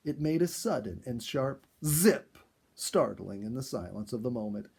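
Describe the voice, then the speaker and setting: Animated, gravelly male storyteller. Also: mid-range voice, moderate pace. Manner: expressive, acts out dialogue and exclamations. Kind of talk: dramatic narrated animal story read aloud, first person.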